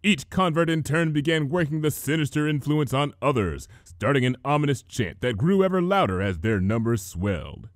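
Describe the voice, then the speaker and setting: A man's voice: mid-range voice, animated, moderate pace, few pauses, no echo, clean studio sound. A man reading a story aloud as narrator, in a scripted, dramatic storytelling style. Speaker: fan fiction reader, narrator.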